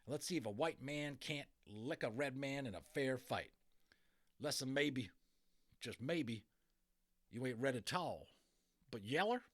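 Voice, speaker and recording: A man's voice: medium pitch, lively intonation, a little slow, long pauses, a bit bright, voice pushed up. A man voicing a tiny, grumbling cowboy with a drawling Old West American accent in a dramatic storybook reading. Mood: blustering challenge. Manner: folksy, drawling, combative. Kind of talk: dramatic audiobook character reading.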